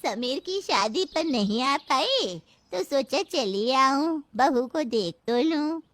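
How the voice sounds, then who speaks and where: A woman plays a shrill, greedy aunt from a cartoon family tale. The voice sits very high and swings widely, dragging out words with pushy indignation. The sound is close and dry. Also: lively intonation, slow, fairly bright tone, dry recording, exaggerated voice. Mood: entitled, demanding. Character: pushy, shrill, greedy paternal aunt demanding her share. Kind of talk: Hindi cartoon moral-story acting.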